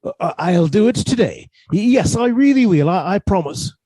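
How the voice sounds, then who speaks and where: A man's voice: sits high, lively intonation, moderate pace, dark tone, no echo. A man playing a henpecked husband turned murderer in a radio suspense drama, fussy, precise and politely menacing. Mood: nervous, coaxing.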